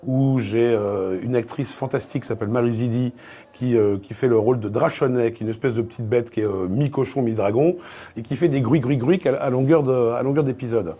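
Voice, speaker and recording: A man in a casual interview as a voice actor and dubbing director, telling anecdotes haltingly and repeating words as he goes. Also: medium pitch, dark tone. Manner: hesitant, repeats words, acts out quoted exchanges.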